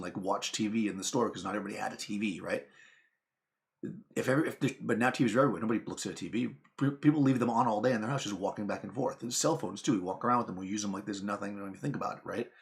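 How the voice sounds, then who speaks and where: A man's voice: fairly low pitch, vocal fry. A man hosting his channel, talking casually to camera in a rambling, chatty way with lots of fillers and asides. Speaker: channel host.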